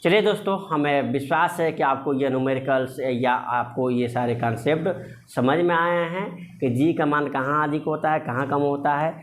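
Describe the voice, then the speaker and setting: A man's voice: a little high, normal speed. A man teaching physics informally step by step, repeating himself and answering his own questions. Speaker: physics teacher for exam candidates. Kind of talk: informal explanatory lesson, working through numericals.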